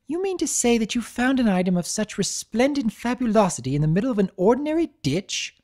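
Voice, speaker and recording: A prissy, grandly spoken pony lady, put on by a woman reading a storybook aloud. She lifts her voice well above the narration and swoops through ornate phrases with a lively, musical lilt. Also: fairly high pitch, a little fast, pitched above the performer's own voice. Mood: prim, dazzled. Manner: posh, elaborate, dramatic diction. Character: prim theatrical adult mare fashionista.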